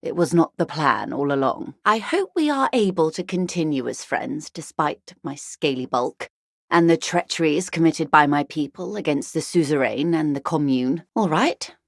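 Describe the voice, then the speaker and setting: A woman's voice: sits low, animated, moderate pace, gravelly, no room sound, studio quality, full character voice. A woman playing a refined, worldly upper-class lady in a video game, speaking with composed, eloquent, dryly philosophical poise. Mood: composed, courteous. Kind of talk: video game dialogue, measured explanatory monologue.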